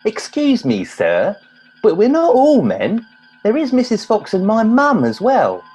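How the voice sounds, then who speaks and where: A man's voice: high voice, wildly swooping pitch, measured pace, a little mellow, slightly rough, soft background music, full character voice. Man playing an eager, swooping-voiced young lad. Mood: earnest. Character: eager, naive young boy recruit, a mummy's lad. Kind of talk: comedy radio play acting.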